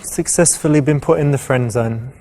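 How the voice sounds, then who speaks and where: A man coaches the listener through dating choices in casual commentary. His pitch sits in the middle range. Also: moderate pace, warm tone. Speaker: seduction coach presenting the game.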